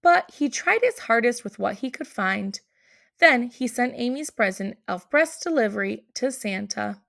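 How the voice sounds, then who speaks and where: Woman reading a kids story out loud, higher voice with lots of creak. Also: lively intonation, measured pace. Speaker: storybook reader. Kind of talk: children's read-aloud narration.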